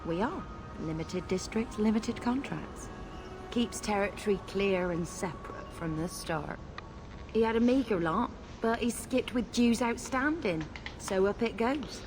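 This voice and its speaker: Actress as a sardonic, mid-pitched underworld dealer woman. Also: a little slow, a little mellow, faint music behind, lightly acted. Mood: cool, sardonic. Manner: clipped, dry, businesslike. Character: cool, sardonic female underworld dealer, unruffled. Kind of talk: fantasy video game voice acting. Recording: clear background ambience noise.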